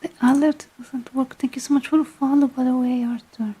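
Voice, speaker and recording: A woman from Italy with an Italian accent, whispering and softly chatting with hesitant fillers as an ASMR streamer. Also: high voice, steady tone, brisk, husky. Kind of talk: soft-spoken ASMR storytelling and chat.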